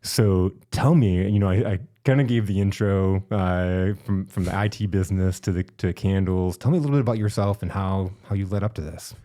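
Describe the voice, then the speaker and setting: A man hosting a casual podcast interview in a studio, loud and exuberant, laughing often. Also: sits low, fairly quick, few pauses. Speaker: podcast host.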